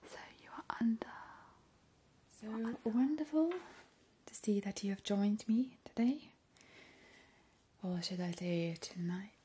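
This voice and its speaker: Whispering woman, very slow ASMR vampire. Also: medium pitch, dark tone, slightly rough. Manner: whispering, slow and soothing, with repeated words. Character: playful, seductive female vampire. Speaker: ASMR host.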